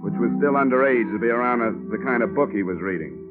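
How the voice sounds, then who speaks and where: An actor playing a wry, wisecracking insurance investigator who narrates a 1950s radio detective drama. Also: male voice, medium pitch, fast, natural voice. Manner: wry, wisecracking, hard-boiled. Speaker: lead and narrator. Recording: tinny old radio broadcast with music.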